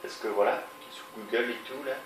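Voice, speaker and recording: A man's voice: mid-range voice, fairly even intonation, fairly quick, fairly bright tone, a little hiss or hum. A man with a stuffy nose from a cold, giving rambling, hesitant commentary on a game he is playing, with many fillers and restarts. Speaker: let's play gamer. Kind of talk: casual gameplay commentary. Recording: roomy, distant mic, game music behind. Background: game music.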